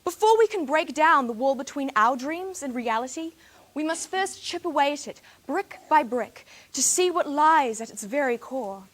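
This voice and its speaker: A woman's voice: high voice, animated, normal speed. A teenage woman from New Zealand with a New Zealand accent, giving a formal competition speech with rhetorical, dramatic emphasis. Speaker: speech contestant.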